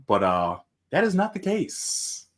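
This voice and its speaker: A man's voice: fairly high pitch, wildly swooping pitch, slow pace. A man co-hosting a reaction show, reacting with teasing disbelief. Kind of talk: casual reaction commentary.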